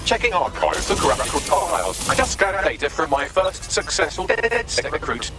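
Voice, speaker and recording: A man's voice: fairly high pitch, very animated, normal speed, over music. A man voicing a witty, snide AI assistant with a British accent, articulate and sardonic, in a video game. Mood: wry, resigned. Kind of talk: scripted video game voice acting.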